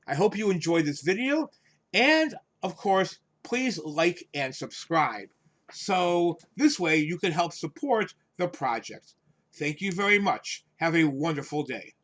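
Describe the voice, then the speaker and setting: A man with a fairly high voice teaches English words to learners. He speaks very slowly and deliberately, with lively, wide-swinging intonation. He defines each term, then restates it in simple example sentences. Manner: deliberate, instructive, repeats and rephrases key phrases. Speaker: English teacher, host of the lesson. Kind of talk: vocabulary lesson, explaining words with definitions and examples.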